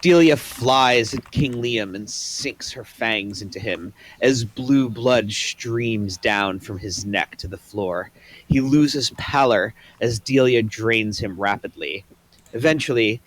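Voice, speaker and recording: A man's voice: fairly low pitch, takes it slowly, poor audio. A man reading scripted stage directions as narrator for a table read.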